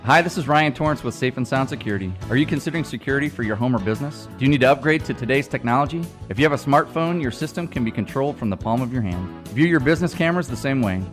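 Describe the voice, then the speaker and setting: A man's voice: medium pitch, lively intonation, rapid-fire, hardly any pauses. American male ad spokesman over guitar music. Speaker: business spokesman in a radio advert. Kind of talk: scripted radio ad read.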